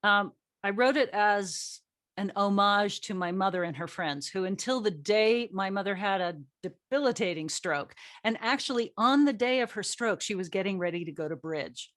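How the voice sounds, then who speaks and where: A middle-aged or older woman who writes plays, hosting a casual group chat and telling stories in an animated, self-joking way with acted-out dialogue. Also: mid-range voice, normal speed. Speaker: playwright and event host.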